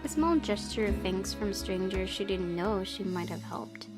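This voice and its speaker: A woman's voice: medium pitch, animated, normal speed. A woman hosting a game playthrough, reading story narration expressively and adding casual commentary. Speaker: gaming video host. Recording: game music behind.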